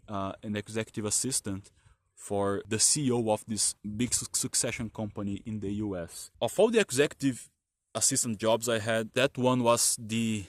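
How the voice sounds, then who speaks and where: A man whose first language is Portuguese, talking casually about his work history in a halting way with many restarts and fillers. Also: fairly low pitch, no room sound. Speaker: vlogger telling his career story. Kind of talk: casual spoken monologue.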